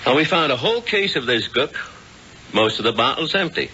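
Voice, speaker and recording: An actor playing a hard-nosed police lieutenant, blunt and businesslike, in 1970s radio drama style. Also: male voice, medium pitch, sing-song, brisk, sharp tone. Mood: stern, determined. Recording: dull noisy old radio recording.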